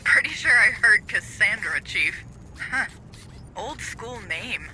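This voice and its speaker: A woman's voice: mid-range voice, animated, takes it slowly, pushed and bright, slight background noise, exaggerated voice, low-quality recording. A woman playing a cocky, wisecracking military dropship pilot in a video game. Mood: wry.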